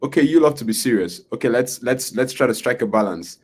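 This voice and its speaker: A man's voice: mid-range voice, expressive, fairly quick, slightly rough. A man hosting an interview podcast, asking long rambling questions full of fillers and tag questions. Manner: rambling, filler-heavy questions with frequent "right?". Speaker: podcast host. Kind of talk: casual interview talk.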